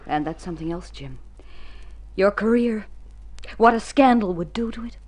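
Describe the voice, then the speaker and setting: An actress playing a neglected, restrained and reproachful wife in a vintage radio drama, measured in delivery. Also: female voice, medium pitch, animated, darkish tone, lightly acted. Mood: troubled, pleading. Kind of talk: 1950s radio drama acting.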